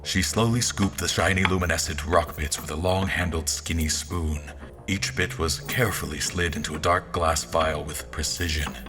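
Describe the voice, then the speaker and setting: A man playing a formal royal officer who narrates an audio drama in the first person, in a measured manner. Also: deep voice, fairly even intonation, close to an everyday voice. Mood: reflective, measured.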